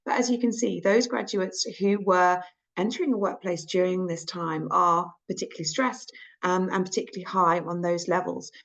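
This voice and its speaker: A woman speaking as a clinical psychologist in a webinar, her voice croaky today, explaining findings in a measured way with frequent ums. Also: on the low side, fairly even intonation, a bit bright. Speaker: clinical psychologist presenting research. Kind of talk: webinar presentation.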